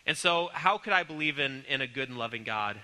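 A man with a cold, sounding congested, teaching a church class in an earnest, halting way with many ums and restarts. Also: mid-range voice, expressive, sharp tone, big-room sound. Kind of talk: recorded church class lecture.

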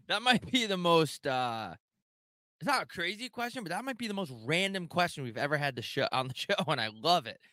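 A man's voice: on the high side, sing-song, moderate pace, long pauses, bright, forceful tone, dry recording, clean studio sound. A young man hosting a live call-in show, joking and rambling with loose, profane banter. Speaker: show host taking live callers. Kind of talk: casual rambling chat.

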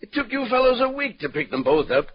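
A man playing an emphatic, excitable police doctor in a 1940s-style radio crime drama. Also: very high-pitched, fast talker, husky, exaggerated voice. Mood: animated, recalling. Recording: dull old radio recording.

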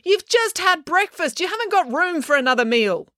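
A woman's voice: mid-range voice, big pitch swings, moderate pace, sharp tone, no room sound, clean studio sound, pitched above the performer's own voice. A woman voicing a feisty, blunt young girl who argues with emphatic sarcasm. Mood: scolding.

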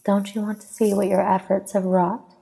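Woman playing a purring, breathy immortal seductress. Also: medium pitch, flat delivery. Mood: teasing. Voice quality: breathy, soft. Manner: purring, crooning, unhurried. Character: seductive, teasing ageless immortal woman, tender lover.

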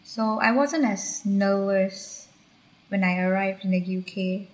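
A woman's voice: medium pitch, slow. A young woman from Malaysia, candidly and reflectively recounting her university years for a podcast. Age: young adult. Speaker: university graduate guest. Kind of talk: podcast personal account.

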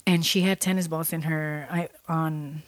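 A woman's voice: low-pitched, steady tone, no echo. A woman in her thirties, stuffy from a cold, hosting a podcast and telling a personal story in a casual, reflective way with frequent restarts. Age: 30s. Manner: unhurried, reflective, trails off and restarts. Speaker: podcast host.

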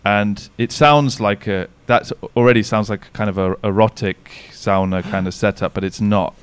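Chatty man with a low, smooth voice. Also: steady tone, normal speed, dry recording. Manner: chatty, rambling, many restarts and fillers. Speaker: podcast guest, sauna business owner. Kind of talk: casual podcast interview. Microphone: remote recording call.

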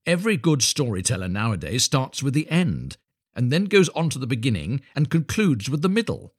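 A man's voice: mid-range voice, very animated, fairly quick, breathy edge, pitched above the performer's own voice. A man voicing a self-important, opinionated water rat in a storybook, pompous and know-it-all. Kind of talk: storybook animal voice.

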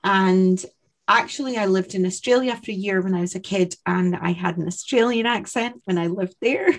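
A woman's voice: medium pitch, normal speed. A woman with a mild northeast Scottish accent, from Aberdeenshire, chatting casually as a show co-host. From Aberdeenshire, Scotland. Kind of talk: casual chat.

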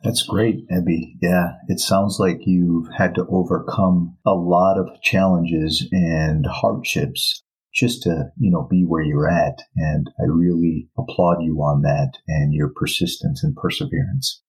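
Deep husky-voiced podcast host. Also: male voice, steady tone, a little slow, darkish tone, poor audio. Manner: friendly, encouraging questions. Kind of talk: interview hosting.

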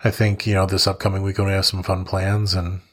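A man's voice: deep voice, monotone, a little fast. A young adult man co-hosting a podcast, chatting bluntly, swearing freely and laughing a lot. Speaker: podcast co-host. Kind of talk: casual chat.

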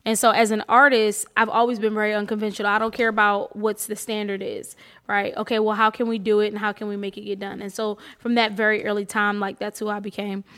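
A woman in her late thirties with a high, youthful voice, chatting and telling stories colloquially as a podcast co-host. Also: level tone, fast talker, a bit bright. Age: late 30s. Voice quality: high-pitched, youthful-sounding voice. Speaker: co-host, filmmaker and teacher. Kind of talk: casual podcast conversation.

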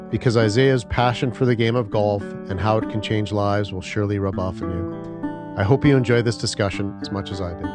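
A man hosting a podcast, introducing his guest and asking interview questions in a friendly, measured way with some hesitations. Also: lowish voice. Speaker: podcast host.

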